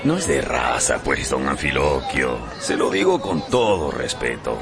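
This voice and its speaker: A blunt, respectful challenger in a radio drama. His extremely deep voice swings dramatically, with music and crowd noise behind. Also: big pitch swings, unhurried, heavily acted. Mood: insistent. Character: blunt, respectful man challenging a rooster's pedigree. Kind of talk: Spanish-language radio drama acting.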